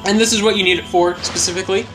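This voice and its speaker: A young man narrates his gameplay in a loose, chatty way and jokes at his own expense. His voice sits a little high and jumps up on exclamations. Game music plays clearly under his voice. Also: steady tone, very fast, pushed and bright. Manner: chatty, self-deprecating, bouncy exclamations. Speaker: let's play host. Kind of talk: casual gameplay commentary.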